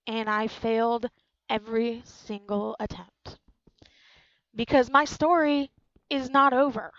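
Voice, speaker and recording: A woman's voice: a little high, fairly even intonation, slow pace, fairly bright tone, dry recording, muffled recording. A woman in her twenties, speaking frankly and earnestly about her own life, repeating key lines for emphasis. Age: 20s. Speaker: channel host. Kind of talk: personal testimony to camera.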